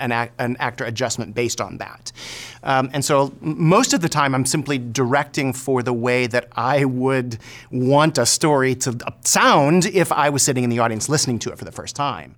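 A man's voice: medium pitch, fairly bright tone. A man speaking reflectively in an interview as a theater director, thoughtful and hesitant.

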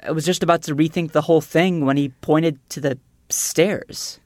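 A woman with a lowish voice, recorded very dry. She is a podcast producer acting out a comic story, stammering and pressing her point haltingly. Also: fairly even intonation, darkish tone, no room sound. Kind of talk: scripted comic storytelling, acted as if real.

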